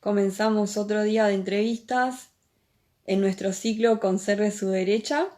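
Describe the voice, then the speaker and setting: A young woman with a Rioplatense Argentine accent hosting a casual live-stream interview, informal and quick to laugh. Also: medium pitch, steady tone, a little mellow. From Buenos Aires province, Argentina. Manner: friendly, laughs often, informal. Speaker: interviewer, host. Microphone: video call.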